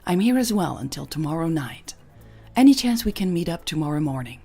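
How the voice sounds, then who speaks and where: A woman voicing a friendly, eager businessman with a brisk, polite manner in an audio story. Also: deep voice, big pitch swings, mellow, breathy edge, faint music behind, exaggerated voice. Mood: eager, businesslike.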